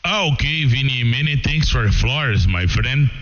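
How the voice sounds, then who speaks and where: A man's voice: medium pitch, lively intonation, normal speed, a bit bright. A Brazilian man, a native Portuguese speaker, making a ham radio contact in broken English with short phrases over a radio transmission. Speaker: amateur radio operator. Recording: muffled radio audio with long reverb.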